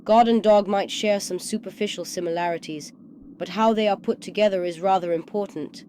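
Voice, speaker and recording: A man voicing a brisk female Time Lord, crisp and exasperated as she lectures a crowd. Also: sits high, fairly even intonation, darkish tone, slight background noise, voice pushed up, natural voice. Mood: impatient.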